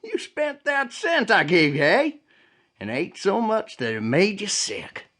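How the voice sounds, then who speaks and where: An actor playing a stern, fussing old country uncle in an audio drama, exclaiming and scolding with drawn-out words. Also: male voice, sits high, wildly swooping pitch, fairly bright tone, heavily acted.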